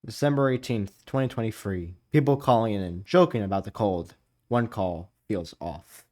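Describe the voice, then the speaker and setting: A man's voice: lowish voice, normal speed, darkish tone. A man hosting a reaction video, reading captions aloud and adding casual commentary.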